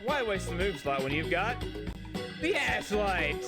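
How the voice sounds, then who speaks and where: A man acts out an over-eager male infomercial pitchman, slick and emphatic. The voice sits fairly high, with music clearly audible behind it. It is a parody of television sales talk. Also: sing-song, unhurried, exaggerated voice. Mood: upbeat, persuasive.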